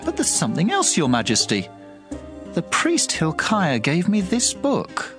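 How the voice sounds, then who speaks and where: A man's voice: a little high, wildly swooping pitch, a little slow, full character voice. A man playing an earnest, deferential royal official reporting to his king in a scripture drama. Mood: earnest, anxious. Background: prominent music.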